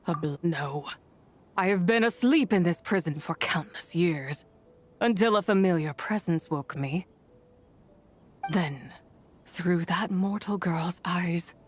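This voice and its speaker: A woman voicing an ancient, menacing spirit in a video game, grave and imperious, with weary, archaic speech. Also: on the low side. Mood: sorrowful. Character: ancient, menacing female spirit of impure energy, imprisoned and weary.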